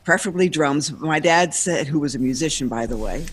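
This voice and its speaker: A woman being interviewed as a guest, telling her story in a lively way and laughing between thoughts. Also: deep voice, a little fast, slight background noise. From United States.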